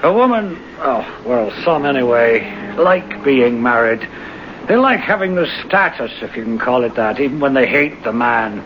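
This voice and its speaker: An actor playing a folksy, sympathetic police officer in mid-century radio drama. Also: male voice, medium pitch, big pitch swings, normal speed, natural voice. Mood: wry, musing. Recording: muffled, noisy vintage radio recording.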